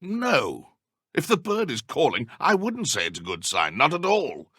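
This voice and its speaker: An actor playing a haughty, menacing dark wizard in a video game, with a British accent and pompous, formal, theatrical delivery. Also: male voice, a little high, wildly swooping pitch, a little slow, slightly rough, no echo, clean studio sound, full character voice. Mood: indignant.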